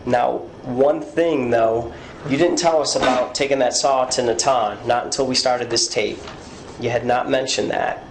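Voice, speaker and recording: A man's voice: mid-range voice, steady tone, unhurried. A man working as a police interrogator, questioning someone in a probing way and repeating answers back in a recorded interview. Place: indoor police interview room. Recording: echoey room with background noise.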